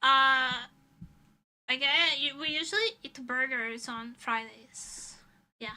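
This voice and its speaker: High, very bright woman streamer. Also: slow, low-quality recording. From Europe. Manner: animated, dramatic exaggeration, swearing. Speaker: livestreamer, VTuber. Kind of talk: casual livestream chat.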